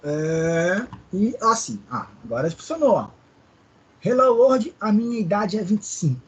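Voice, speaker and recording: A man's voice: high voice, very animated, a little slow, husky, dull audio. Man teaching a programming class. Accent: Brazilian Portuguese, Maranhão. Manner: explains step by step, asks students questions. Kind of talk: live online class.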